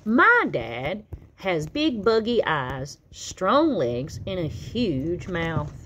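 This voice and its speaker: A woman reading a children's picture book aloud as an expressive narrator. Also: fairly low pitch, drawn-out words, a little hiss or hum. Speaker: storybook reader.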